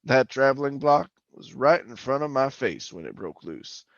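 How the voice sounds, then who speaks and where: Guy playing a spooked oil rig hand in a radio play, kinda low voice, stammery storyteller, dull sound w music behind. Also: sing-song, normal speed, no echo. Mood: uneasy recounting. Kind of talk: old-time radio drama narration, first-person suspense.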